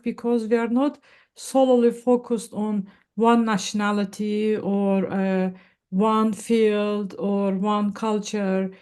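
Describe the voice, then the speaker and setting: Woman, mellow, smooth voice, slow, measured explanations, video call. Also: mid-range voice. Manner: measured, explanatory, with light laughs and fillers. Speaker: nonprofit founder, guest. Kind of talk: casual video-call interview. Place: Silicon Valley, indoors.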